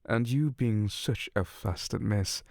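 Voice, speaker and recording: Slow, low, gravelly male incubus, played by a man, teasing and predatory, dry close ASMR sound. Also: a little mellow, no room sound. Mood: seductive. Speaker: ASMR roleplay voice actor. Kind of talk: ASMR roleplay.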